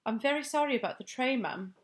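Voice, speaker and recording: A woman's voice: high voice, fast, pitched above the performer's own voice, lightly acted. A woman voicing a timid middle-aged maid who speaks respectfully and hesitantly. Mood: nervous. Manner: respectful, hesitant, repeats "ma'am".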